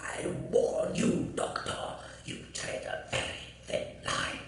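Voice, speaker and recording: An actor playing an old, mad, imperious sci-fi villain with a harsh, electronically treated rasp and a menacing, grandiose delivery in a radio drama. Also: male voice, slow, a little hiss or hum. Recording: very echoey, distant, music underneath.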